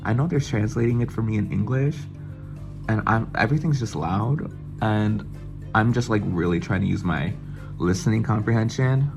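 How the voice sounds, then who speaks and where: A young man from the United States telling an excited, swearing storytime vlog and mimicking other people's voices as he goes. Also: fairly low pitch, normal speed, creaky, music in the background. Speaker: vlogger. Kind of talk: casual storytime chat.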